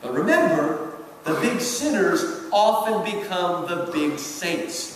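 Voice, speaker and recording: A man acts out a senior devil, suave and patronizing, in a staged reading of letters. The phrasing is theatrical and drawn out with lively swoops. It carries from far off in a heavily reverberant hall. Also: high voice, takes it slowly. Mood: patronizing, amused. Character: suave condescending senior devil advising his young nephew. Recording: very echoey hall from far off, faint noise.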